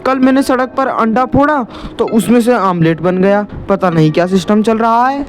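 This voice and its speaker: A man's voice: sits high, normal speed, voice pushed up, close to an everyday voice. A man voicing a timid, dramatic young villager who complains wordily and repeats himself in a cartoon comedy story. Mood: grumbling.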